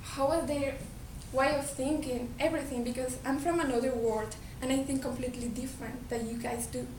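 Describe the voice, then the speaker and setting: A woman with a Colombian Spanish accent gives an earnest talk, often restarting her words. Her voice sits high. It is picked up from a distance in an echoey hall, with steady noise and a low rumble behind her. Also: fairly quick. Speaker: speaker giving a talk. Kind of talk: presentation to an audience.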